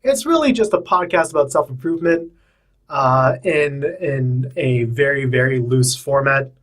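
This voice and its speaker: A young man hosting a casual podcast, self-deprecating and halting, with a slight stammer, recorded in a small booth. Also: medium pitch, fairly bright tone. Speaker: podcast host.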